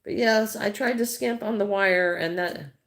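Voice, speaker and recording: A woman's voice: mid-range voice, normal speed, a bit bright, a little hiss or hum. A middle-aged Midwestern American woman chatting casually on a crochet livestream, greeting viewers by name and thinking aloud as she works.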